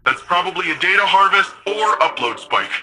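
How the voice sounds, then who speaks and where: A man's voice: high voice, expressive, moderate pace, sharp tone, faint music behind, full character voice, poor audio. A man playing an upbeat, keen ally who guides a soldier over the radio in a sci-fi video game, talking colloquially. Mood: concerned, determined. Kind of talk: video game mission dialogue.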